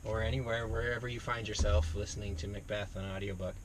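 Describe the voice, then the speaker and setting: A man's voice: lowish voice, flat delivery, quick pace. A man co-hosting a casual book chat, talking in a wry, hesitant way as he thinks aloud. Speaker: library podcast co-host. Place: parked library bookmobile. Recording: clear background noise inside vehicle.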